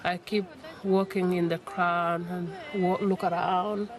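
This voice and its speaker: A woman, speaking halting, broken English as a worried mother in an emotional interview. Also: lowish voice, flat delivery, crowd noise. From South Sudan.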